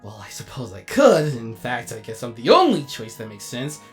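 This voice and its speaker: A man playing a vain, melodramatic robot actor and director in a comedic animated comic dub, with an excitable, grandiose delivery. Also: medium pitch, normal speed, soft background music. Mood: exasperated, excitable.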